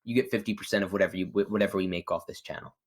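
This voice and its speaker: A man's voice: low-pitched, fairly even intonation, racing. Rambling, stammering male podcast host. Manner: rambling, stammering, stop-start delivery. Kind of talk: casual chat interview.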